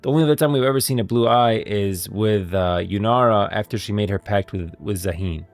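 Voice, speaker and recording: A man's voice: on the low side, fairly quick, soft background music. A man hosting a stream, giving emphatic, explanatory commentary on video game lore, part read and part off the cuff.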